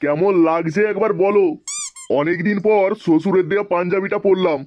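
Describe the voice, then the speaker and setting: A man's voice: high voice, steady tone, brisk, lightly acted. A man voicing a cheerful, bashful middle-aged village husband in a comedy cartoon, homely and familiar. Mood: pleased, bashful. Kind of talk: animated comedy cartoon dialogue. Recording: dull lo-fi audio, faint noise.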